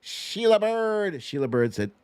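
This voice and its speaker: A man's voice: high voice, big pitch swings, unhurried, darkish tone, smooth, no room sound, studio quality. A man hosting a casual livestream quiz, chatty and playful as he banters and calls out to viewers. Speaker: host of a live online show.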